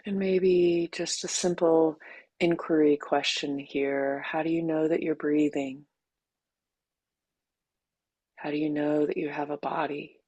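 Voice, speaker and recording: A woman teacher leading a guided meditation in a gentle, unhurried way, leaving long pauses between short phrases. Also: low voice, fairly even intonation, breathy edge, no echo.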